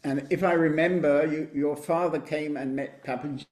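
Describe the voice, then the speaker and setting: A spiritual teacher leading an online meditation group, speaking in a calm, measured way and often affirming with repeated "right, right". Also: male voice, fairly high pitch, echoey room. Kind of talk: relaxed group-meeting talk. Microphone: computer mic on a video call.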